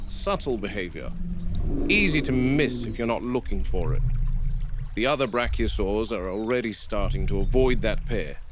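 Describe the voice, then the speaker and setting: A man playing a stern, cautious game warden, speaking in a measured, matter-of-fact way as in a scripted found-footage field log. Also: on the low side, lively intonation, noisy background, close to an everyday voice. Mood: measured, observant. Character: stern, cautious male game warden logging park animal observations.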